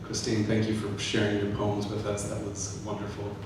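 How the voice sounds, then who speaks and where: A man's voice: lowish voice, completely flat delivery, moderate pace. A man, a poet and professor, giving informal opening remarks and thanks at a live reading. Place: reverberant event room with an audience. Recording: very echoey room, distant mic, noisy.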